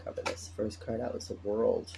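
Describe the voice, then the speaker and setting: A man with a raspy morning voice, tired, chatting casually as he lays out a tarot reading and repeats his phrases. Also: fairly low pitch, fairly even intonation, normal speed, noisy background. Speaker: tarot reader.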